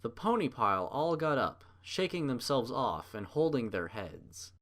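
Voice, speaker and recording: Lively male narrator, very varied pitch. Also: a little slow. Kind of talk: animated fan fiction story narration.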